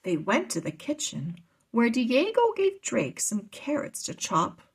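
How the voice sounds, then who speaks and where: A woman's voice: fairly high pitch, huge pitch swings, a little slow, slightly rough. A woman reading a children's chapter book aloud as narrator. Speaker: storybook reader.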